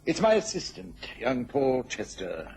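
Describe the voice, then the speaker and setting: An actor playing a worried, dignified British government official in a radio drama, formal and hesitant. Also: male voice, mid-range voice, wildly swooping pitch, unhurried, darkish tone. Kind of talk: mid-century radio drama acting. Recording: noisy old radio recording.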